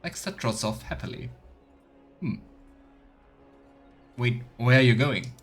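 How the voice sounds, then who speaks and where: A man's voice: mid-range voice, lively intonation, slow pace, soft background music. A man playing through a fantasy game as a streamer, reading dialogue aloud and commenting casually. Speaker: streamer, let's player.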